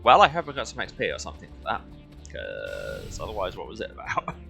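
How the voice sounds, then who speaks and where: A man's voice: low-pitched, huge pitch swings, a little fast, gravelly, pushed and bright, faint music behind. A man giving casual video game playthrough commentary in a dry, sardonic, self-deprecating manner.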